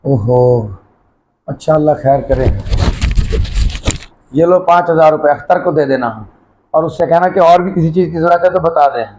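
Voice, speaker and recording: A kindly, commanding rich employer played by a male actor in a homemade moral drama. The voice is somewhat high and brisk, with a faint noisy ambience behind it. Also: muffled recording, lightly acted. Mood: concerned. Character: generous wealthy employer, trusting but firm. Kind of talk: amateur moral-story video skit acting.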